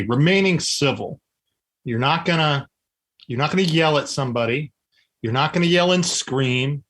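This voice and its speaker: Husky-voiced upbeat man co-hosting. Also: on the high side, a bit bright. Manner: upbeat, blunt, plainspoken. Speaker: podcast co-host. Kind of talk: casual podcast chat.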